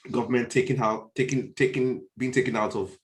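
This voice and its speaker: A man's voice: medium pitch, steady tone, brisk. Nigerian guy on a podcast panel, emphatic, repeats himself working out a point, roomy echoey sound. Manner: emphatic, repeats phrases as he reasons. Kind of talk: conversational political discussion. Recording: roomy, echoey online call.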